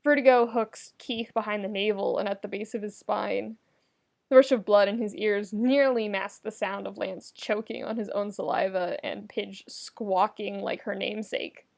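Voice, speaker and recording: A woman reading comedic fiction aloud as a narrator, with an expressive, wry storytelling delivery. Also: medium pitch, moderate pace, vocal fry. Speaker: podfic narrator.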